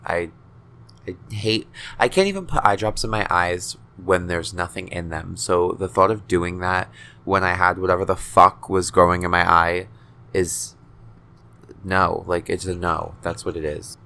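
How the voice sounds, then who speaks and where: A man's voice: sits low, a little slow, vocal fry, sharp tone. A nineteen-year-old man hosting his own podcast, rambling casually with lots of swearing and self-deprecating jokes. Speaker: podcast host.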